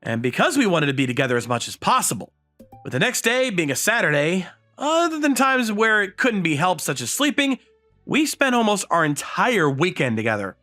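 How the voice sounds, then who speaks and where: An actor playing a modest, bashful high school boy who narrates and speaks in an earnest manga dub style. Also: very animated, moderate pace, bright, forceful tone, soft background music, heavily acted. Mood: contented narration.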